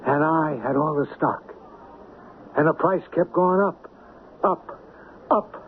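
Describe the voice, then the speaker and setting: An actor playing an anxious, streetwise small-time hustler in a 1970s radio drama, with a nervous, dramatic way of talking. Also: male voice, a little high, slow pace, slight background noise. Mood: uneasy recounting. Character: anxious small-time male hustler recounting his uneasy fortune. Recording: tinny vintage radio broadcast.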